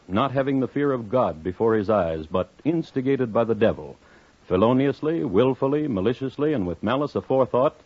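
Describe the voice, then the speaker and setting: Old time radio crime narrator guy, husky, very animated pitch, formal n wry, muffled recording. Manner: measured, formal, theatrical. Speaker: narrator host. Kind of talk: 1950s radio scripted narration.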